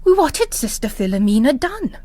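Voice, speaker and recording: A woman voicing an inquisitive, mysterious male priest with a polite, gently probing manner, in a story reading. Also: sits high, very animated, moderate pace, no room sound, voice pushed up.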